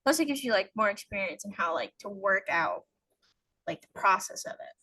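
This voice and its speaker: A woman's voice: mid-range voice, animated, a little fast, bright, forceful tone, breathy edge, poor audio. Chatty woman podcast host. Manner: chatty, many "like" fillers. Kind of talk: casual interview chat.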